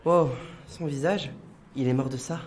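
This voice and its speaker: A woman playing a dedicated investigator in a French audio drama, earnest and measured, slightly hesitant. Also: sits low, a little mellow, a little hiss or hum. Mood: businesslike, curious. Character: earnest, dedicated female investigator with special powers.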